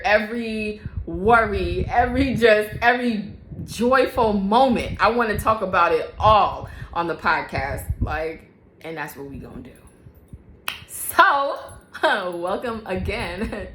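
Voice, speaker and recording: A woman's voice: medium pitch, pushed and bright, reverberant, a little hiss or hum, poor audio. A woman hosting her own faith-based mom podcast, talking casually to camera, chatty and often laughing, repeating herself for emphasis. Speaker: podcast host and mother.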